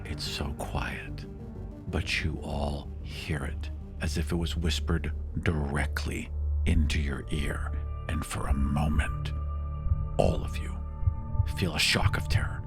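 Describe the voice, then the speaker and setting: A man narrating a tabletop game as game master, hushed and suspenseful with deliberate pauses. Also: rumbling low, steady tone, in a whisper. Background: prominent music.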